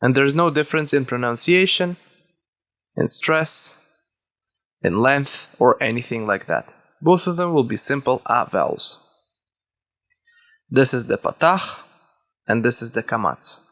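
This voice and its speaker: A man who is a native Hebrew speaker, teaching a language lesson step by step, deliberately and with key words repeated. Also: medium pitch, animated, fairly bright tone. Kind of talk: instructional lesson, explaining step by step.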